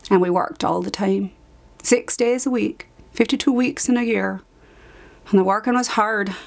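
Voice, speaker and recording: A woman's voice: mid-range voice, a little mellow, natural voice. Actress as anguished, haunted woman survivor. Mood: bitter remembrance. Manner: halting, emphatic repetition, sudden drops to whisper. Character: anguished woman survivor of an Irish Magdalene asylum, recalling abuse. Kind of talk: dramatized monologue, confessional testimony.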